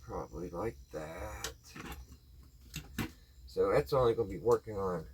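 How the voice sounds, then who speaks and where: Low-voiced man tinkering aloud over background noise. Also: measured pace. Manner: folksy, thinking aloud. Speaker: vlog host. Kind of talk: casual hands-on vlog chat, talking to viewers while tinkering.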